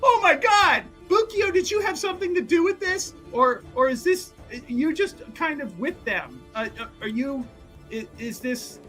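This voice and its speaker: A man playing Aiden, an excitable, tactless male rogue who gushes and rambles with questions. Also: very high voice, a little slow, soft background music, voice pushed up, exaggerated voice. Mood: excited.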